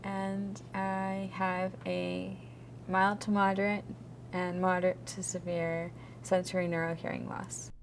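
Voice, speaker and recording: A woman in her twenties speaking calmly and plainly about herself. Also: on the low side, a little slow, vocal fry, slight background noise. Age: 20s. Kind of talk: personal testimonial to camera.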